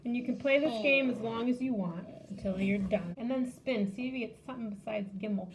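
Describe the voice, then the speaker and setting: A woman's voice: fairly high pitch, fairly quick, noisy background. A woman hosting a children's library storytime, casually showing a craft and game step by step in simple words.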